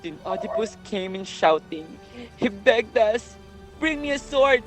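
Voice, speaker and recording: A man's voice: high-pitched, unhurried. A man playing a grave messenger of doom in a readers' theatre Greek tragedy, with dramatic, emphatic delivery. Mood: horrified, grieving. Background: music.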